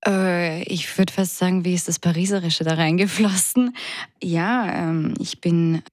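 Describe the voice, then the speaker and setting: A woman in her thirties from France, an actress speaking reflectively as a guest in a radio interview and often pausing to search for words. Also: on the low side, fairly even intonation, darkish tone, no room sound, clean studio sound.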